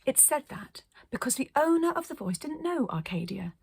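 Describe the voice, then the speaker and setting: A woman reading a children's story aloud as storyteller, expressive, with dramatic pauses. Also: sits high, creaky, no echo. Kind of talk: scripted children's story narration.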